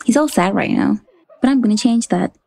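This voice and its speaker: Brash, deadpan ten-year-old girl. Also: mid-range voice, brisk, creaky. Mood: cheeky, blunt. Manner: deadpan, cheeky, blunt. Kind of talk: romantic comedy audio drama acting.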